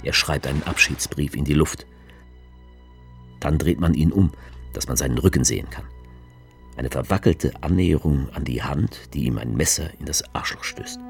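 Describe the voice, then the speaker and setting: A man's voice: a bass voice, normal speed, creaky. An actor playing a grim male writer, narrating a radio drama in a stark, incantatory recitation. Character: grim male writer recounting a staged war. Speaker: author character narrating. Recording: music under the voice.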